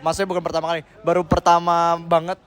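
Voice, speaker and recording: Young man, a bit high-pitched, loose slangy answers with lots of fillers, outdoor interview. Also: monotone, quick pace, a bit bright. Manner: rambling, slangy, heavy with fillers. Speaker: senior member being interviewed.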